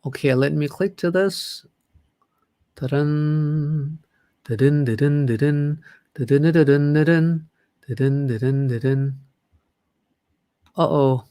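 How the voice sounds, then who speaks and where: Thai guy on a livestream, slow and chatty, kinda high voice, dry sound. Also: warm tone, no room sound. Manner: chatty, upbeat, reads viewer comments aloud. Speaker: livestream host. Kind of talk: casual livestream chat.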